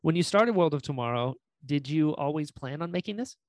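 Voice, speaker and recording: A man's voice: on the high side, expressive, brisk, dry recording. A man hosting a casual interview, talking in an enthusiastic, gushing way and teasing playfully. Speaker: podcast host and voice actor.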